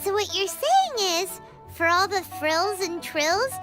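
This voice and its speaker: A woman voicing a tiny, excitable girl companion in a video game, who talks about herself in the third person. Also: extremely high pitch, slow, music in the background, full character voice. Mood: chirpy.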